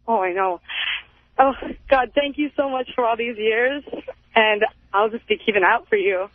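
A woman's voice: a little high, normal speed. Teenage girl on a tinny phone line. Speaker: radio show caller. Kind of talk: casual phone-in chat. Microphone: telephone.

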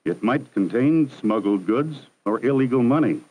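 A man narrating a 1960s educational film in a measured, formal, instructive manner. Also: mid-range voice, lively intonation, darkish tone, husky, low-quality recording. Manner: measured, formal, didactic delivery. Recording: 1960s archival film soundtrack.

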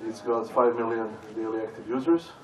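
A man's voice: medium pitch, brisk, a crowd in the background. Man, distant in echoey hall. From Serbia. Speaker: conference panelist. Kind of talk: conference panel talk. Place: large conference hall with audience. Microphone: stage microphone heard from far off.

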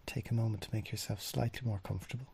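A man leading a guided body scan meditation in gently instructing, unhurried phrases with long pauses, his husky, breathy voice often trailing off into a near-whisper. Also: on the low side, fairly even intonation, slight background noise. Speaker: mindfulness meditation teacher.

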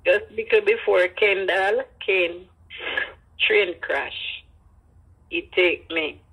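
Husky, slow-talking elderly Jamaican woman. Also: mid-range voice, fairly bright tone, rough recording. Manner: emphatic repetition, Creole phrasing. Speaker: interviewee, grandmother. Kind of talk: personal storytelling in an interview.

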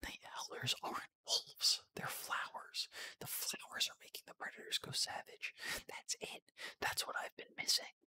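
A reader voicing an earnest, remorseful young female rabbit officer from an animated film script in a breathy whisper. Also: normal speed. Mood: dawning realization.

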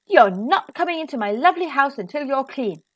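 A woman's voice: sits high, animated, a little fast. A woman voicing a fussy, house-proud mother in a children's storybook, with an emphatic manner. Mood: scolding.

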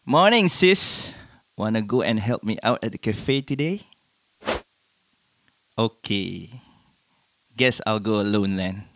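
An actor playing a protective young man, an earnest, emphatic brother figure in an animated comic drama. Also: on the low side, slow, pauses often, heavily acted. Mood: subdued, apologetic. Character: protective older brother, worried and scolding. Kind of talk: animated motion comic voice acting.

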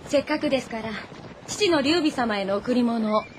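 Woman acting young bride, polite, eager, slightly high voice, feminine speech, game drama. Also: expressive, normal speed, slight background noise, close to an everyday voice.

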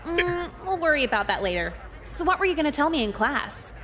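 An actress voicing a jaded, manipulative teenage schoolgirl in a visual novel with deadpan sarcasm. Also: high voice, fairly quick, a little hiss or hum, heavily acted. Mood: coaxing.

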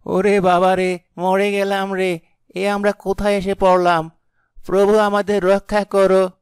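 Man playing a high-voiced young villager. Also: little pitch movement, measured pace, smooth, no room sound, clean studio sound. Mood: terrified, pleading. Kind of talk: cartoon fairy-tale character acting.

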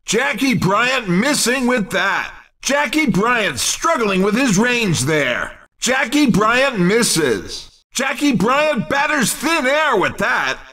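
A man's voice: extremely high pitch, very animated, slow, bright, forceful tone, distant mic, exaggerated voice. An actor playing an exuberant, cheeky male race commentator in a video game, calling the action in punchy, wisecracking exclamations. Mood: excited, teasing.

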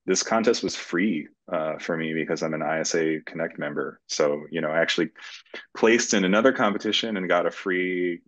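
A man's voice: low-pitched, a little fast, a bit bright, no room sound. A man in his thirties chatting casually as an interview guest over a video call with dull, lo-fi sound. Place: indoor room at home.

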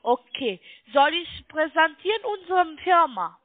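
A high-pitched woman with a foreign accent makes a telephone sales pitch as a non-native speaker. She sounds congested with a cold. Her voice comes over a thin phone line. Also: animated, measured pace, no echo. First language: not German. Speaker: call center trading sales caller. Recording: muffled band-limited phone line.

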